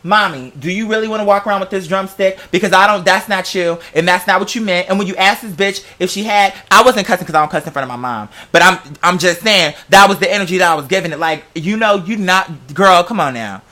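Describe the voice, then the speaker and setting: Sassy man with a high, bright voice. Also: fast, hardly any pauses. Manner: sassy, slangy, profane, theatrical. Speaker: vlogger. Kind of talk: casual storytelling to camera.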